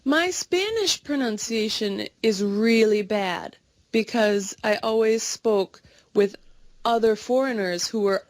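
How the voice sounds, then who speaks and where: Woman, mid-pitched voice, slow and clear, dry close mic, friendly simple phrasing. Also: no room sound. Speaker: English speaker in a practice dialogue. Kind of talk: slow scripted conversation practice.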